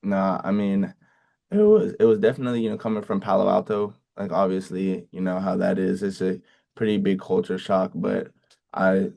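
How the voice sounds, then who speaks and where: Deep, low-key young man, gravelly. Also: flat delivery, dark tone. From Bay Area, California. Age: young adult. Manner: halting, many ums and restarts. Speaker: college football player. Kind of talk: casual interview answers.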